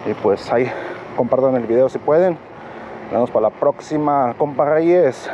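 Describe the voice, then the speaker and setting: A man's voice: medium pitch, warm tone. Man vlogging on a night bike ride. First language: English. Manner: halting, searching for words, many fillers. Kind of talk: casual vlog narration while cycling. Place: outdoors on a bike at night on city streets. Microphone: action camera on the bike. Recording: dull action camera audio with road noise. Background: traffic and road noise.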